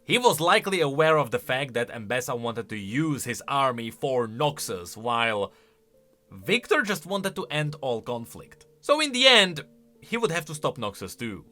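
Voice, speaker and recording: Male commentary host. Bright, forceful voice with lots of swing, conversational and emphatic. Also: mid-range voice, sing-song, moderate pace, soft background music. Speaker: video host. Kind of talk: casual reaction and explanation commentary.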